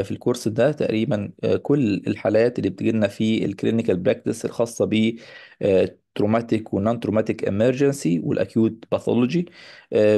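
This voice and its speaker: Male lecturer, Egyptian accent, quick delivery with a mellow tone, informally announcing a course with English technical terms dropped in. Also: lowish voice, vocal fry. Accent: Egyptian Arabic. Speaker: radiology lecturer. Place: voice-over on a slide presentation.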